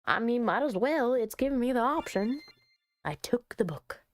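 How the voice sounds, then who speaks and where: A woman doing let's play commentary on a visual novel, reading it aloud and chatting with expressive, playful asides. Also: on the high side, normal speed, no room sound. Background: soft music.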